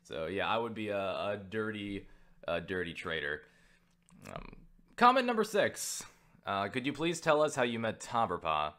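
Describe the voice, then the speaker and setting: A man's voice: fairly low pitch, big pitch swings, moderate pace, pushed and bright. A man from the United States answering viewer questions in a casual vlog, rambling, joking, swearing freely and poking fun at himself. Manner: self-deprecating.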